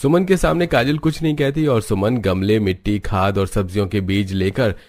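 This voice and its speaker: A man's voice: fairly low pitch, normal speed, darkish tone. A man narrating a scripted cartoon moral story.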